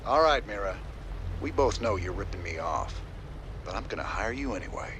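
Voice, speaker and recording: A drawling, gruff rebel commander. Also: male voice, fairly low pitch, animated, normal speed, fairly bright tone, slight background noise. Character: tough weary male rebel commander.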